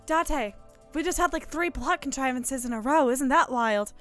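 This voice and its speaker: Higher-voiced woman doing game commentary. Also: normal speed, fairly bright tone, faint music behind. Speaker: co-host of a game playthrough. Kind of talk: casual gaming commentary.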